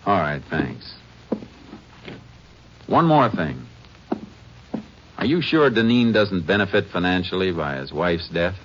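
An actor playing a laconic, methodical Texas Ranger in a 1950s radio drama, terse and matter-of-fact, with an American accent. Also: male voice, deep voice, very animated, slow, long pauses, a little hiss or hum, exaggerated voice. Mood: probing, cool. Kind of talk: 1950s radio drama acting and first-person narration. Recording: dull old radio recording, music behind.

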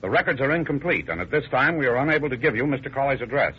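An actor playing a formal, officious government clerk reading out a letter in a 1930s-style radio drama. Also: male voice, mid-range voice, animated, rapid-fire, breathy edge. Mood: brisk, officious. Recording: muffled old radio recording.

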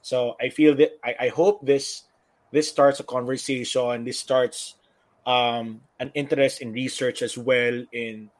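A man's voice: mid-range voice, fairly even intonation, unhurried. A man with a Filipino accent who moderates a panel discussion, speaking hesitantly with many fillers. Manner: hesitant, with many fillers, switching between English and Tagalog.

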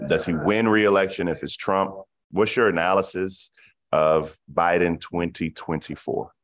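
A man in his thirties hosting a podcast, asking questions in a casual, blunt, joking way. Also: a bass voice. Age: 30s. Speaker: podcast host.

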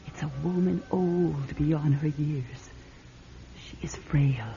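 An actress playing a scheming middle-aged fortune-teller in a classic radio drama, grandiose and coaxing in manner. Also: female voice, sits low, slow, dark tone. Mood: hushed, trance-like. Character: theatrical, scheming female fortune-teller and fake medium. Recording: muffled vintage radio, music behind.

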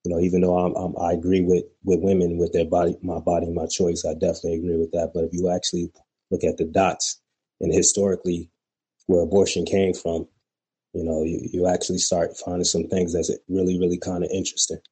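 Low dark husky guy calling in, kinda monotone, keeps saying you know. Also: quick pace, rough recording. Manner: rambling, many "you know" fillers. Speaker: caller. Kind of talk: casual call-in talk.

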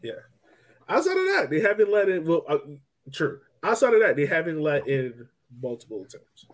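A man's voice: a little high, very animated, moderate pace. A man hosting a soccer podcast, talking casually and conversationally, often saying "like" and "you know". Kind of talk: casual sports talk.

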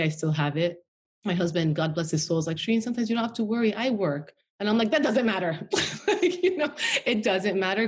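Woman guest, deep voice, chatty, lots of filler words, from the us capital. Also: a little mellow. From Washington DC, United States. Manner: chatty, frequent "you know" and "like". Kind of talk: casual interview conversation.

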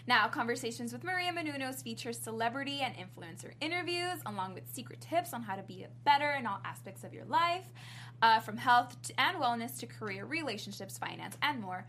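A woman's voice: a little high, animated, fairly quick, slight background noise. A woman co-host on a talk panel, doing an upbeat promotional read. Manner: upbeat, bright promotional delivery. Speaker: podcast co-host. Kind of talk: reading an ad read.

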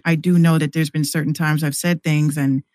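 A man with a slightly high voice chats as a guest on a podcast. His talk is loose and rambling, circling back over words as he thinks, and the sound is close and dry. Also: flat delivery, a little fast, a little mellow, dry recording. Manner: rambling, repeats words while working out thoughts. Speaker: podcast guest and music maker. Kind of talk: casual conversational podcast talk.

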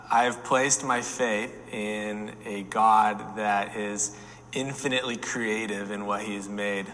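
Pastor in his 40s, bright forceful voice, candid, reverberant room. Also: on the low side, slight background noise. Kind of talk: panel discussion.

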